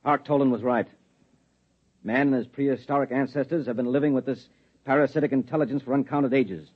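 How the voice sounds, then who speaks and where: An actor playing a controlled husband with a secret job, calm, lecturing and patronizing, in a 1950s radio drama. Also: male voice, mid-range voice, brisk, husky, natural voice. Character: controlled husband hiding a secret, a security man. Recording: dull lo-fi 1950s radio recording.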